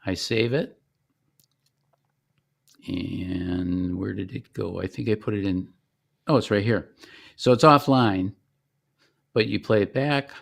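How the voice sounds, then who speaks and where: A man explaining software step by step in a conversational, informal product demonstration. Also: on the low side, slow, gravelly, pauses often.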